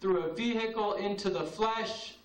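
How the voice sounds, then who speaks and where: A man performing spoken-word poetry at an open mic, with a slow, solemn, incantatory delivery and long pauses. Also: high-pitched. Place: open mic night in a cafe. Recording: roomy, distant VHS tape recording.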